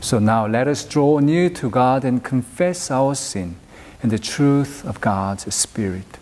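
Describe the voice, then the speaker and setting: A middle-aged man leading church worship as minister, reading prayers and scripture in a solemn, measured, formal way. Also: mid-range voice, lively intonation, a little mellow. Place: inside a church, at a pulpit. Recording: long echoing hall reverb.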